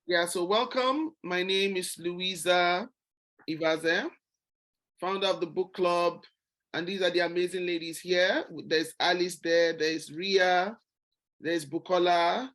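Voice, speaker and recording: Lady hosting her book club on a video call, lowish voice, easygoing and friendly. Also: fairly even intonation, slow pace, a bit bright. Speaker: book club founder and host.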